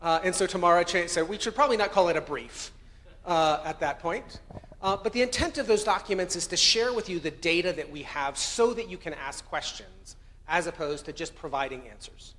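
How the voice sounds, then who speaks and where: An American-accented man presents research at a conference in an earnest, conversational way, sometimes correcting himself mid-sentence. His voice sits a little high for a man, and it rings with the long reverb of a big hall. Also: animated, fairly quick, fairly bright tone. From Colombia.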